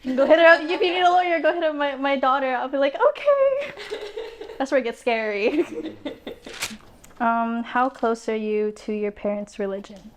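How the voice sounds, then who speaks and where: Lively young woman with a higher voice. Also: normal speed, a little hiss or hum. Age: young adult. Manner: lively storytelling with mimicked quotes. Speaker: student panelist. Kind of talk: casual chat.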